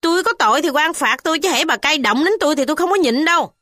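A woman's voice: medium pitch, expressive, unhurried, a little mellow, no room sound, clean studio sound, natural voice. A woman voicing a bold, blunt poor village man who stands up to the gentry. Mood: defiant. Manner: blunt, defiant.

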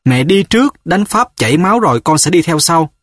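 A man's voice: on the high side, sing-song, brisk, a bit bright, no echo, studio quality, pitched above the performer's own voice. A man voicing a stubborn young village fighter. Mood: insistent. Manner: firm, insistent.

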